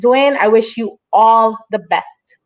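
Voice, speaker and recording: A woman's voice: on the high side, slow, poor audio. A woman hosting a casual online interview in an encouraging, affirming way. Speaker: interview show host.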